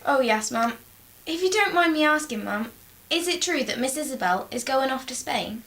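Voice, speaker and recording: An actress playing a polite, worried young housemaid in a 1930s radio drama. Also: female voice, sits high, lively intonation, moderate pace, a bit bright. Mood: deferential. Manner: polite, deferential.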